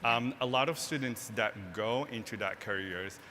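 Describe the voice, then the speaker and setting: A young man from Morocco speaks in a low, forceful tone, earnest and quick to add "right?" as he explains. His voice rings with the long reverb of a big event hall, with a faint hum of noise underneath. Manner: earnest, frequent "right?" tag questions. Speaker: tech nonprofit founder, guest. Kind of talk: stage interview. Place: large hall, live event stage.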